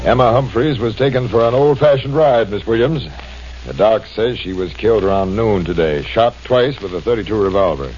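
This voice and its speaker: A man playing a brisk police captain. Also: fairly low pitch, a little mellow, soft background music, natural voice. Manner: brisk, commanding. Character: gruff male police captain. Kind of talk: 1940s radio acting. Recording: dull old radio broadcast.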